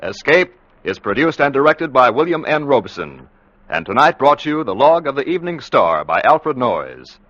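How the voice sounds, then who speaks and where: Forceful male vintage radio announcer. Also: medium pitch, big pitch swings, normal speed. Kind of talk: scripted 1940s-50s radio announcing. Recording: dull, noisy vintage radio recording.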